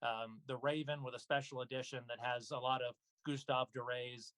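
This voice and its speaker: A man's voice: medium pitch, flat delivery, normal speed, a bit bright. A man speaking casually as an interview guest, an actor and narrator talking about his own work.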